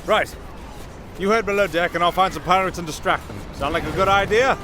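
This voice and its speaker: A man's voice: sits high, a little fast, music in the background, lightly acted. A man playing a roguish, wry young musician adventurer in a fantasy audio roleplay, bantering with some hesitation. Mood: wry, scheming.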